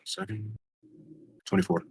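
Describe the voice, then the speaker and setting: A man playing a tabletop game, chatting casually and haltingly as he thinks aloud. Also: a little mellow, a little hiss or hum.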